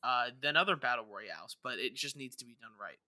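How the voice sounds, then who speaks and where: A man's voice: mid-range voice, animated, fairly quick, bright, forceful tone, no room sound. A man hosting a podcast, talking casually through gaming news in a rambling way, often stammering and restarting. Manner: rambling, stammering with repeated false starts. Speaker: podcast host.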